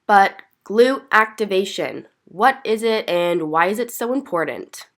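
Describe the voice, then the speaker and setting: A woman's voice: mid-range voice, animated, measured pace, fairly bright tone. Stuffy-sounding woman giving workout instructions. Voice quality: slightly stuffy, congested. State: stuffy from being sick. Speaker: fitness vlogger and workout instructor.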